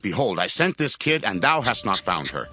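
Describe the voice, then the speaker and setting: An actor playing a solemn, commanding Hebrew patriarch in a scripture audio drama, with archaic phrasing. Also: male voice, on the low side, sing-song, moderate pace, pushed and bright, slightly rough, heavily acted. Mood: resigned.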